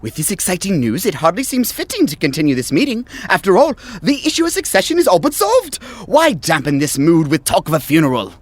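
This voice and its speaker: A pompous royal patriarch from a modern-court audio drama. His high voice swoops extravagantly as he delivers flowery, effusive pronouncements. The manner is theatrical and silky. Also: wildly swooping pitch, a little fast, few pauses, no room sound, heavily acted.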